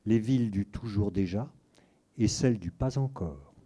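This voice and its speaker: A man reading a literary passage aloud, measured and deliberate. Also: lowish voice, warm tone, husky. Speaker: reader of excerpts.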